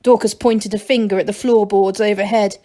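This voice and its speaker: A woman's voice: medium pitch, steady tone, moderate pace, mellow. A woman reading a children's storybook aloud as narrator, like a teacher to a class.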